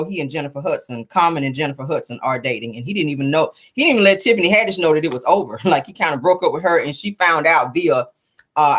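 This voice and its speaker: A woman with a low-pitched voice hosts a gossip news show. She talks casually and slangily, addressing her viewers like friends as she gives her commentary. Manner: informal, slangy, addressing viewers as guys and honey.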